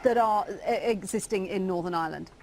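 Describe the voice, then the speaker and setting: A woman presenting a televised political debate, briskly steering between guests and reading out viewer comments. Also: medium pitch, darkish tone, slight background noise.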